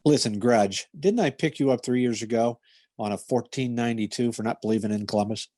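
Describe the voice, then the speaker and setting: A stoic police detective from an old-time radio crime parody, acted by a man. He speaks in clipped, matter-of-fact phrases at a medium pitch, completely deadpan. The sound is close and dry, with no room around it. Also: a little fast, no room sound, close to an everyday voice. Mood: stern. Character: stoic, no-nonsense male police detective narrating his case.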